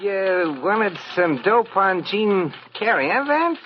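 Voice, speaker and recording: An actor playing a shady, chummy theatrical agent with sly, slangy talk in an old-time radio detective drama. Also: male voice, sits high, sing-song, takes it slowly, heavily acted. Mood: chatty, slangy. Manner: slangy wise-guy talk with sly hesitations. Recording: muffled, slightly noisy vintage radio recording.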